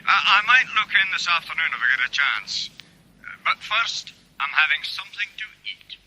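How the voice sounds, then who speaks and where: An actor playing a hesitant, mildly suspicious company manager in a radio drama, halting and questioning. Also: male voice, a little high, expressive, pushed and bright, full character voice, low-quality recording. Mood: doubtful. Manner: halting, questioning, full of ums and uhs. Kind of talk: British radio drama acting.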